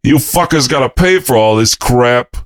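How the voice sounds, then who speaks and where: A man's voice: medium pitch, expressive, moderate pace, no room sound, studio quality, close to an everyday voice. A man voicing an angry, foul-mouthed apartment caretaker who threatens someone with a shotgun. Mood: angry barking. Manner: gruff, foul-mouthed, barking.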